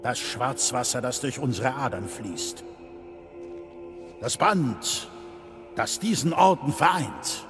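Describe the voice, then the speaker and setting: An actor playing the solemn, grave leader of a knightly order in a game drama, speaking in ceremonial, measured oration. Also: male voice, mid-range voice, sing-song, music in the background. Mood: grave, reverent.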